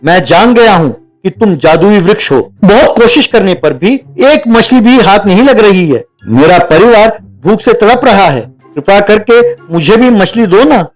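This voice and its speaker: A man's voice: sits high, animated, measured pace, few pauses, soft background music, rough recording. A man voicing a greedy, envious elder brother in a cartoon tale, sly and scheming in manner. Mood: wheedling. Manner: scheming, wheedling. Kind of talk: cartoon storybook dialogue.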